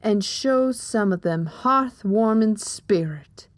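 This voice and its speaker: A woman's voice: medium pitch, animated, takes it slowly, no echo. A woman playing a stern, exasperated pony mother who scolds in an audiobook drama. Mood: stern scolding. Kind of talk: audiobook character acting.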